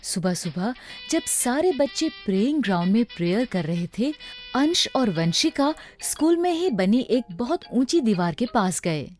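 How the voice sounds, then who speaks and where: High, lively woman storyteller over music. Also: a little slow. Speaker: narrator. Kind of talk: scripted children's story narration. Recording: music behind the voice.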